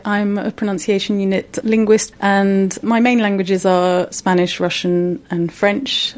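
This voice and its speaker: A woman linguist talking at length in an interview, explaining in a relaxed way with many fillers. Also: fairly low pitch. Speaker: broadcaster's pronunciation linguist. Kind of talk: casual interview answers.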